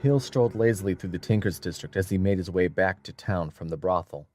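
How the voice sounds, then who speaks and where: A man's voice: deep voice, fairly quick, darkish tone, soft background music. A man narrating a dramatic audiobook from a script as a storyteller.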